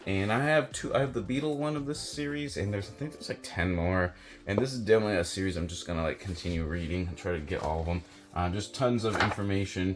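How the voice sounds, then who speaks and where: A man chatting casually and unscripted as a book reviewer, rambling and hesitant with lots of filler words. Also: sits low. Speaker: book reviewer and video host. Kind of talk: casual unscripted chat to camera about books.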